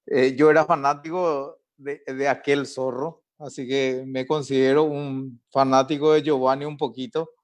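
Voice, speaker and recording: A man runs a live online panel in a chatty, easygoing way, introducing guests and handing them the floor. His voice sits at an ordinary middle pitch. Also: flat delivery, normal speed, few pauses. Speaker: host and moderator.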